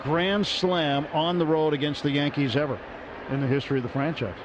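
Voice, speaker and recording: A man calling a baseball game as the radio play-by-play announcer from a ballpark booth, with brisk, measured play calling. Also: on the high side, steady tone. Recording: muffled radio broadcast with crowd noise.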